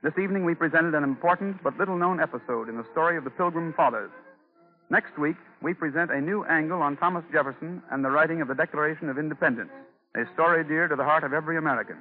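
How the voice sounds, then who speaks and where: Brisk male presenter on tinny 1940s radio. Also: fairly high pitch. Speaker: program presenter, a doctor.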